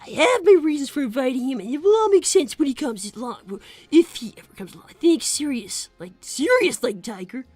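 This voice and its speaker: A woman's voice: high voice, big pitch swings, fairly quick, few pauses, darkish tone, dry recording, soft background music. A woman voicing an anxious, earnest young boy fox who stammers defensively on a character livestream. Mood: conversational. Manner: stammering, flustered. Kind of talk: improvised character livestream with donation reading.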